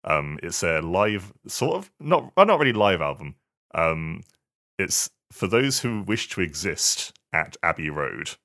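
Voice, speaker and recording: A man co-hosting a podcast, chatting casually in a halting way and often repeating his words. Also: sits low, big pitch swings, gravelly, fairly bright tone. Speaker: podcast co-host. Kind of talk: casual chat.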